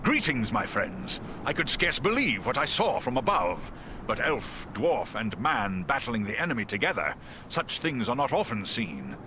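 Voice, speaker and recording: An actor playing a noble, formal giant eagle scout in a video game. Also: male voice, mid-range voice, sing-song, moderate pace, noisy background, close to an everyday voice. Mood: courteous, grave. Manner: formal, stately. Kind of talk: video game cutscene dialogue.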